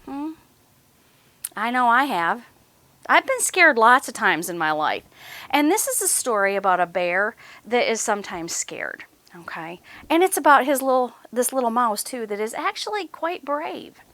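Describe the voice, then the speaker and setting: A woman hosts story time for young children in an encouraging, simple way. Her voice is high and very expressive in pitch. She takes her time, and the sound is close and dry. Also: normal speed, no room sound. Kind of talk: children's read-aloud and chat.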